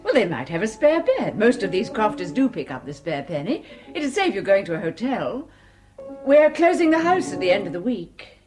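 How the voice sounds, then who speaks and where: A woman playing a brisk, upper-class lady. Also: medium pitch, sing-song, lightly acted. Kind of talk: radio drama acting. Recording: radio drama with music behind.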